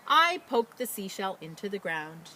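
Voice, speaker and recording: A woman who works as a teacher librarian, talking and reading a picture book to children in an expressive, enthusiastic way. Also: mid-range voice, a little slow, slight background noise, rough recording. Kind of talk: children's story read-aloud with casual introduction.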